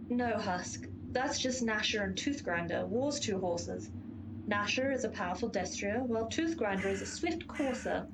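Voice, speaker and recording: A woman's voice: mid-range voice, lively intonation, normal speed, noisy background, close to an everyday voice. A woman playing a pompous, long-suffering horse of Pestilence in a comic radio play, lecturing and exasperated. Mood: weary, lecturing. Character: haughty, long-suffering female horse of Pestilence, bossy explainer.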